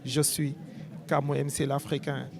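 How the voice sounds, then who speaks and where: A man with a Senegalese French accent, interviewed at an outdoor event, speaking in an earnest, measured, rhetorical way. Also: medium pitch, level tone, gravelly. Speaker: artist interviewee. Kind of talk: street interview, spoken-word declamation. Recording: crowd noise behind.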